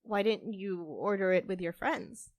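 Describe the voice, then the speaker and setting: Woman doing a wry fed-up barista in a podcast drama, terse, middle pitch, very dry sound. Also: a little slow, no echo, close to an everyday voice. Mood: frustrated. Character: wry, frustrated barista wrongly accused of murder. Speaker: voice actor in a fiction podcast. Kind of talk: audio drama dialogue.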